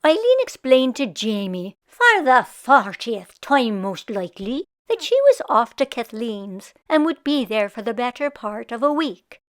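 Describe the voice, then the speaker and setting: A woman reading a children's picture book aloud as an expressive storyteller. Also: on the high side, a little slow, hardly any pauses. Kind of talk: expressive scripted read-aloud narration for children.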